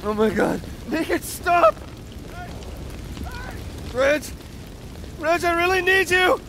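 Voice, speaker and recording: A man's voice: extremely high pitch, expressive, slow, noisy background, exaggerated voice. An actor playing an impulsive young man with new powers in a video game cutscene. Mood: panicked. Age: young adult. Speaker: game protagonist. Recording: loud game music and effects behind.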